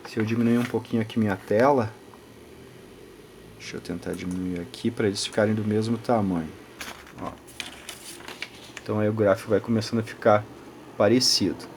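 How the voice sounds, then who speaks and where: A man with a Brazilian Portuguese accent gives an informal, halting technical explanation, often adding short check-in tags as he teaches. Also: lowish voice, fairly even intonation, a little mellow. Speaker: audio channel host and reviewer. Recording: clear background noise, room ambience.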